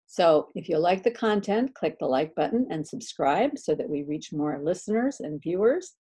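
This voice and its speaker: A woman's voice: fairly low pitch, lively intonation, moderate pace, dark tone, husky. A woman hosting a podcast, upbeat and welcoming as she opens the show and interviews her guest. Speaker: podcast host. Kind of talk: scripted intro then interviewing.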